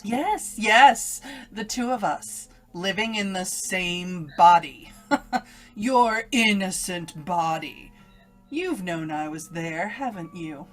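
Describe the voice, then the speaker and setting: A woman's voice: lowish voice, animated, slow, faint music behind. A woman playing an evil, domineering inner voice in an old-time radio melodrama, taunting and commanding with menace. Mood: gloating, mocking. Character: evil inner voice, a domineering female alter ego.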